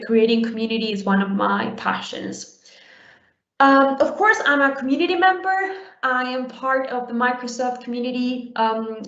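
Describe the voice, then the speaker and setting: A young adult woman from Uruguay giving a conversational conference talk, with many fillers and restarts. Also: fairly high pitch. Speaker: conference speaker. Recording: roomy, dull lo-fi sound.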